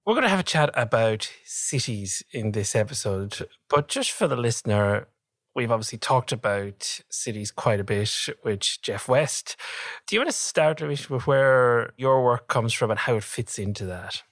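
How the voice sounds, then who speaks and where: A man hosting a podcast, asking clear and measured questions. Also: medium pitch, animated, a bit bright, no echo. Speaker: podcast host. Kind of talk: podcast interviewing.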